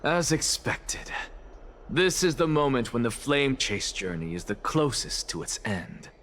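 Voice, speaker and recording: Theatrical, aloof scholar. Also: male voice, mid-range voice, big pitch swings, unhurried, fairly bright tone, a little hiss or hum. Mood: cool, assured. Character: self-assured, theatrical male scholar and sage. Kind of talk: dramatic game voice acting.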